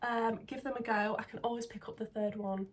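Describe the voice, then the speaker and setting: A woman who is getting over a cold, chatting excitedly through a makeup vlog at home, full of ums and sometimes dropping to a near-whisper. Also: fairly high pitch, fairly quick. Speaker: makeup vlogger. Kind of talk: casual beauty vlog chat. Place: indoors at home, filming makeup at a mirror.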